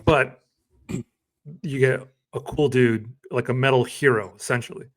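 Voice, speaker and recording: A man co-hosting a casual podcast, talking in a relaxed, rambling way full of fillers. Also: medium pitch, a little slow. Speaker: podcast co-host.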